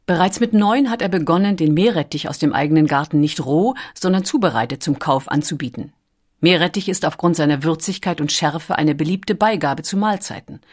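A woman reading scripted documentary audiobook narration in a measured, articulate storytelling manner. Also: low-pitched, a little mellow.

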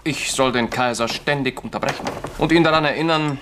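An actor playing a smug, jovial, flattering Nazi politician in a radio drama, smooth-talking and casually impudent. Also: male voice, mid-range voice, fairly quick, noisy background, close to an everyday voice. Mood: teasing. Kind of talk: naturalistic radio drama dialogue.

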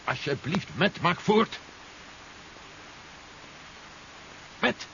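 An actor playing an urgent, commanding general who gives clipped orders in a 1970s Dutch sci-fi radio play. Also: male voice, high-pitched, expressive, a little slow, sharp tone, exaggerated voice. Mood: impatient concern. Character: urgent, commanding male general leading a tense mission. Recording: dull, hissy 1970s radio recording.

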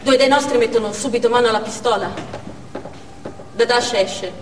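A woman's voice: fairly high pitch, moderate pace, noisy background, lightly acted. An actress playing a devout, fearful Chechen woman militant, narrating in a theatrical stage monologue. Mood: tense, fearful. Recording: echoey theatre hall, distant, faint music.

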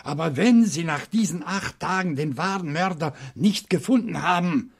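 Proud old professor, husky higher voice. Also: male voice, lively intonation, moderate pace, exaggerated voice. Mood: indignant, dignified. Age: 70s. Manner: articulate, formal, indignant pride. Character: dignified, wary seventy-year-old French professor living among books. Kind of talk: German radio crime drama acting.

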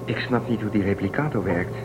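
A man playing a calm, protective space traveler. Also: on the low side, a little fast, natural voice. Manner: calm, reasoning. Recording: noisy old tape.

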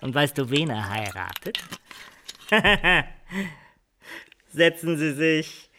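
A man's voice: on the high side, very animated, slow pace, sharp tone, slight background noise, full character voice. An actor playing an exuberant, mocking nobleman in a theatrical German radio drama. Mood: mocking amusement. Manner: theatrical, breaking into laughter.

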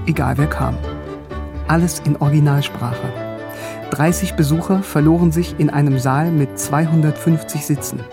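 A man's voice: on the high side, lightly acted. A man playing a young philosophy student in a radio drama, telling his story in the first person in a plain voice. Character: young male philosophy student, narrating his own memories.

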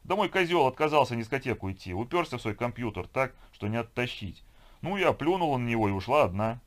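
A man's voice: mid-range voice, animated, fast talker, voice pushed up, close to an everyday voice. A man voicing a brash, irritated glamorous young woman who complains with scornful emphasis.

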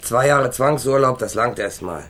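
A man's voice: mid-range voice, normal speed, fairly bright tone, natural voice. Male actor as young remorseless ex-convict, radio crime play. Mid-range voice, crude street talk, scornful and defiant. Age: young adult. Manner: slangy, brash, dismissive. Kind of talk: German radio crime drama acting.

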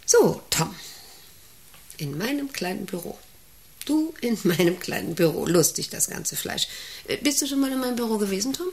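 An actress in a radio play as a domineering, eccentric factory director who rambles, commands and asks intrusive questions. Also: female voice, mid-range voice, very animated, normal speed, creaky, a little mellow. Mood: brisk, probing. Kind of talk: German radio play acting.

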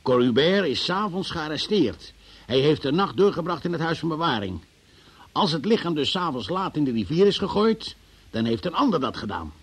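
An actor in a radio drama playing a stern, sardonic, relentless police interrogator who questions formally and presses hard. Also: male voice, on the high side, very animated, a little fast, husky. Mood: deliberate, accusing.

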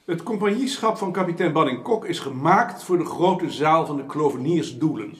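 An actor playing a formal, emphatic gentleman councillor in a period radio drama, eloquent and scornful of his peers. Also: fairly high pitch, expressive, normal speed, natural voice. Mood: earnest, oratorical. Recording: roomy, echoing studio sound.

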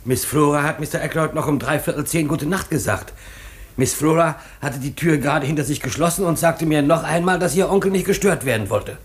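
An actor playing a nervous, deferential manor butler in a 1950s German radio play, hesitant and formal. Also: male voice, a little high, a little fast, reverberant, natural voice. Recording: 1950s radio recording with hiss, clicks.

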